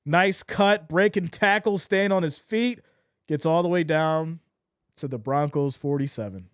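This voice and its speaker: Hoarse male fan commentator, high-ish voice, close dry mic, emotional live play calls. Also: unhurried, no echo. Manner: excitable, swings from shouting to deflated mutters. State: losing his voice. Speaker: streamer doing play-by-play.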